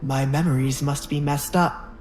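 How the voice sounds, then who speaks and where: A woman voicing a possessive, quietly unhinged young nobleman in an audio romance drama, low-toned and threatening. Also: fairly even intonation, normal speed, faint music behind, close to an everyday voice. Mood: menacing, mocking.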